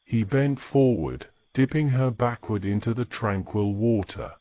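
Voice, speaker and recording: A man's voice: mid-range voice, unhurried, highs cut off, a little hiss or hum. A man reading scripted narration as the storyteller of a fantasy audio drama.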